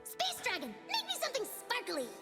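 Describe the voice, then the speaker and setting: A playful, hyperactive young trickster girl for a video game. Her voice is extremely high and swings up and down with giddy, girlish energy. Loud music plays behind the lines. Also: a little fast, heavily acted. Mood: playful, teasing. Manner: gushing, girlish, excitable.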